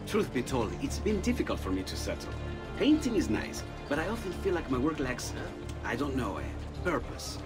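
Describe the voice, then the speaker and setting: A man playing an eager, courteous young Renaissance artist in a video game, expressive and musing, with an Italian accent. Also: medium pitch, moderate pace, music in the background, natural voice. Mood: earnest, musing. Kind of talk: dramatic video game acting.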